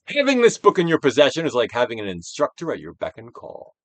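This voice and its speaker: Theatrical man reading with sweeping, animated pitch. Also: no room sound. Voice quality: trained stage-actor delivery. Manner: emphatic, drawn-out phrases with big dynamic swells and hushed drops. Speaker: narrator reading a self-help book. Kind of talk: theatrical dramatic audiobook reading.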